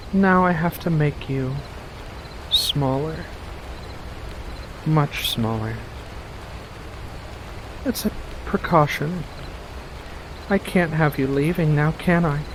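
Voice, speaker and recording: A man performs a playful witch in a soft-spoken ASMR roleplay, coaxing and teasing with a hint of menace. The pitch sits a little high for a male voice and the delivery is very leisurely, full of deliberate pauses. Soft rain and faint hiss lie behind the words. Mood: coyly menacing. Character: playful, coy female witch in a forest cottage, faintly menacing.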